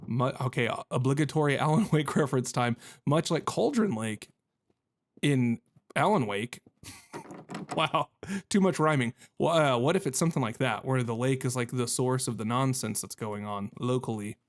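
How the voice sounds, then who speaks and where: A man hosts a video game playthrough in a medium-pitched voice. He talks casually and reacts as he goes, rambling and joking with goofy, mild exclamations. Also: lively intonation, normal speed. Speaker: gamer hosting a playthrough video. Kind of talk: casual, reactive gameplay commentary.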